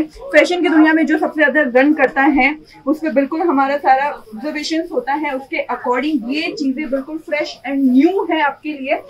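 Young female shop presenter, high-pitched, brisk sales patter, recorded in a showroom. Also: poor audio. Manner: upbeat salesy, repeats "you will get". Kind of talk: product showcase sales pitch. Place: indoor clothing showroom.

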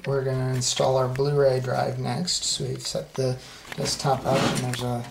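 A father slowly explaining, step by step, how he builds a computer with his son, with halting fillers, recorded at home. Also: mid-range voice, completely flat delivery, creaky. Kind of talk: casual how-to narration. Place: home room with blinds. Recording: noisy home video.

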